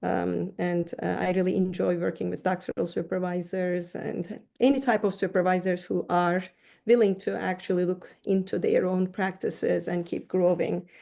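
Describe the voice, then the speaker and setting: Middle-aged woman from Turkey, gravelly and warm, thoughtful interview guest who restarts words. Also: lowish voice, little pitch movement, normal speed. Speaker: counseling professor, guest. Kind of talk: interview answers.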